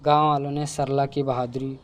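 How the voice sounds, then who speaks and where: A man's voice: mid-range voice, flat delivery, moderate pace, lo-fi sound, slight background noise. A man narrating a scripted cartoon folk tale as storyteller.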